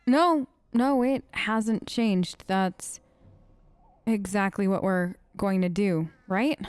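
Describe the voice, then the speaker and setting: A woman gamer role-playing her character's dialogue off the cuff in a hesitant, halting, conversational way. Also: mid-range voice, darkish tone, clear, resonant tone, no room sound. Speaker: gaming let's player. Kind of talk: improvised in-character role-play of game dialogue.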